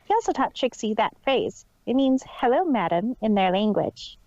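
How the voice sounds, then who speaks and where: A man's voice: sits high, big pitch swings, moderate pace, darkish tone, no room sound, muffled recording, pitched above the performer's own voice. A man voicing a young, eager female cartoon pony who talks a little hesitantly. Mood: explaining. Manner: speaks of herself in third person, hesitant.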